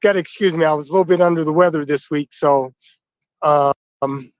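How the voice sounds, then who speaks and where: A man's voice: on the high side, steady tone, a little fast, no room sound. Stuffy-nosed man in his fifties, lo-fi. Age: 50s. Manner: upbeat, welcoming, addressing the fathers. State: stuffy nose from flu. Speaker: host of a livestream. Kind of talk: livestream address to viewers. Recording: dull, dry, lo-fi livestream audio.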